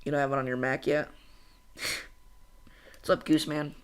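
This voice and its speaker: A young man from central Illinois hosting a casual weather livestream, chatting excitedly and wandering off on tangents while reading chat. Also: on the high side, clear, resonant tone. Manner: chatty, goes on tangents, talks fast and excitedly.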